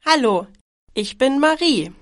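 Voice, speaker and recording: A woman in her twenties from Germany speaks very slowly for a language exam recording, with a fairly high, lively, melodic pitch. The sound is dry and close, with careful enunciation. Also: dry recording. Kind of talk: slow scripted exam dialogue.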